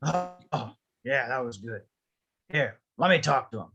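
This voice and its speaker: A man acting a grumpy, stubborn elderly widower in a script table read, with a husky, rough voice and curt, grumbling delivery. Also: mid-range voice, expressive, measured pace, dull audio. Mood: gruff, indignant.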